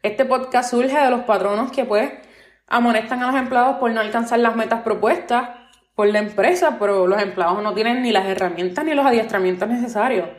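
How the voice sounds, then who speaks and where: A woman's voice: fairly high pitch, brisk. A Puerto Rican woman in her twenties hosting her own podcast, talking earnestly with many fillers like "este" and "pues". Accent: Puerto Rican Spanish. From Puerto Rico. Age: 20s. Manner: opinionated, earnest complaints about workplaces. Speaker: podcast host. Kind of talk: solo podcast monologue. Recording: roomy echo.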